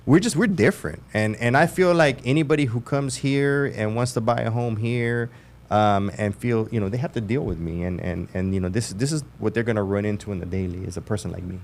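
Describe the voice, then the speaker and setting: A man's voice: on the low side, brisk. A man in his forties from Houston with a Texan accent, a realtor co-hosting a podcast, talking emphatically with lots of restarts and slang. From Houston, Texas. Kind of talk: casual podcast chat.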